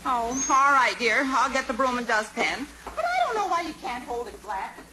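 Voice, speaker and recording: Fussy mother, high lively voice. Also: normal speed. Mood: scolding. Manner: scolding, fussing. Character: fussy, insistent middle-aged mother. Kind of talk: old-time radio situation comedy acting. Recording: noisy old radio recording.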